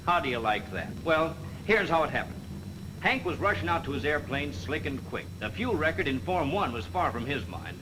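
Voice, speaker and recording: Wry, theatrical 1940s film storyteller, highish and animated, selling cautionary tales in slang over an old soundtrack. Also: male voice, normal speed, noisy background. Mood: wry, playful. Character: wisecracking folksy narrator figure named Joe telling cautionary tales. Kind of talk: 1940s training film narration.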